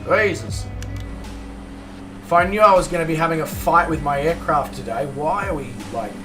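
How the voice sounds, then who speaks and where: A hoarse Australian man streaming a flight sim with a raised voice, chatting loosely and thinking aloud as he goes. He sits a little back from the mic, and clear music plays under his talk. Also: on the high side, moderate pace, pushed and bright, distant mic. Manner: chatty, greets viewers, thinks aloud with many fillers. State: hoarse, recovering from a lost voice. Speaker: game streamer. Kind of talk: casual live-stream commentary while flying a simulator. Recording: a bit off-mic with music behind.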